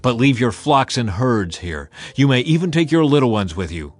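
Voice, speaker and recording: A man's voice: mid-range voice, sing-song, normal speed, soft background music. A man voicing a stubborn, haughty ancient king with an imperious manner in a scripture audiobook. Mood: grudging. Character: Pharaoh, stubborn, haughty male Egyptian king.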